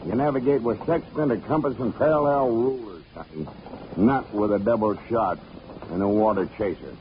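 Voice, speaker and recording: An actor playing a seasoned old sea captain in 1940s radio style, gruff and familiar, full of nautical slang. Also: male voice, fairly low pitch, normal speed, lightly acted. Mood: salty, familiar. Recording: dull, noisy 1940s radio recording.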